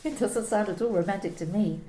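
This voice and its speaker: A woman's voice: mid-range voice, brisk, mellow, close to an everyday voice. A woman acting a guarded, incredulous office employee in an amateur radio play, wary and questioning. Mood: sceptical, wary. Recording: roomy, echoey home recording.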